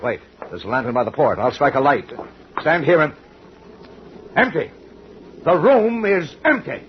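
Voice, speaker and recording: An actor playing a brusque, commanding middle-aged ship's captain in a 1940s radio drama. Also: male voice, on the high side, animated, unhurried. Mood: tense. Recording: dull noisy old radio recording.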